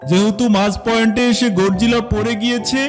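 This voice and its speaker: A man's voice: high-pitched, steady tone, brisk, over music, lightly acted. A man playing a stern, formal lion king of the jungle in a children's cartoon. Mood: formal, firm.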